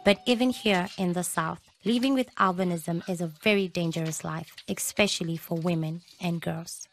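A woman from Zambia narrates in a calm, informative documentary manner. Her voice is on the lower side. Music plays clearly underneath. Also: moderate pace. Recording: voice-over with clear music behind.

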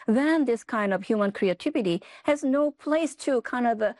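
A woman's voice: fairly high pitch, animated, normal speed, a little mellow, dry recording. A woman author from South Korea, explaining her ideas thoughtfully in an interview and often checking in with "right?". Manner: thoughtful, explanatory, frequent tag questions. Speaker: author and guest. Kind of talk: interview answers.

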